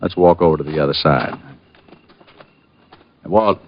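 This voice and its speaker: An actor playing a wisecracking, cool-headed private detective in an old-time radio drama, with a wry, offhand, playful way of talking. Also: male voice, a bass voice, moderate pace. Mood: watchful, terse. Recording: muffled vintage radio broadcast with music.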